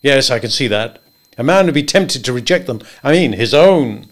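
A man's voice: mid-range voice, expressive, normal speed, lightly acted. A man voicing a jovial older male draft-board official who talks in a breezy, coaxing way. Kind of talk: audiobook character voice.

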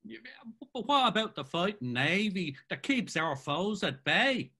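Actor for a lively, bright-toned Irish pub gossip. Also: male voice, high-pitched, a little slow, breathy edge, full character voice. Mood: jaunty. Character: gossiping Dublin drinker Joe, jaunty. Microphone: video call webcam.

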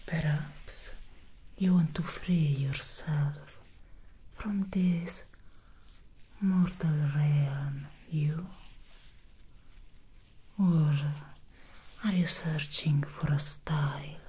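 A woman's voice: low-pitched, steady tone, warm tone, slight background noise. Woman whispering as a seductive female demon stylist. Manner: slow, breathy whisper, teasing and seductive. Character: alluring female demon hairstylist from the underworld. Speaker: ASMR roleplay performer. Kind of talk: whispered ASMR roleplay. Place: dark indoor studio set.

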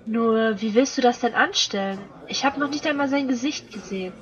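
Woman acting confident young society girl in a radio play, slightly high voice, headstrong. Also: fairly quick, fairly bright tone, dull audio, close to an everyday voice. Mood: uneasy. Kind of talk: German amateur audio drama dialogue.

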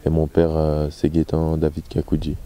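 A man's voice: a bass voice, monotone, normal speed, warm tone. A man, giving casual, hesitant interview answers on a handheld mic outdoors. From Belgium. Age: 20s. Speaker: footballer being interviewed. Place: open-air football pitch. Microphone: handheld microphone.

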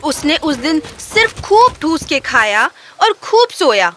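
A woman's voice: high-pitched, very animated, fairly bright tone, slight background noise. A woman reading a scripted children's story as the narrator.

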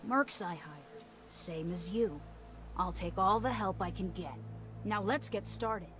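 A woman's voice: on the low side, slow, music in the background. Streetwise scavenger woman. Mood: businesslike. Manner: matter-of-fact, streetwise bargaining. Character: brisk, businesslike wasteland scavenger woman hiring mercenaries. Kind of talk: video game dialogue.

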